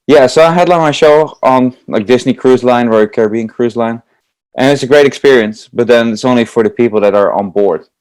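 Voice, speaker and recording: A man's voice: medium pitch, fairly quick, darkish tone, clean tone, no echo, poor audio. A young Dutch man with a Dutch accent, a juggler answering interview questions in an eager, chatty way with many fillers. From Netherlands. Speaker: guest, comedy juggler.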